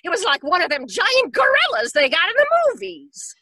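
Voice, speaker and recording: A woman's voice: very high-pitched, big pitch swings, normal speed, sharp tone, no echo, clean studio sound, heavily acted. A woman playing a panicky, uneducated, brassy woman in old-time detective radio, with melodramatic, slangy working-class speech. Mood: panicked. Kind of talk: 1940s radio detective acting.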